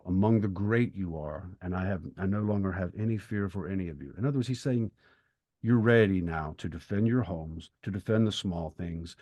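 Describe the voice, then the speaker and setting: A man co-hosting a podcast, speaking reflectively and earnestly, with thoughtful, halting phrasing. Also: sits low, warm tone, no echo. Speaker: podcast co-host. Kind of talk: reflective literary discussion and prayer.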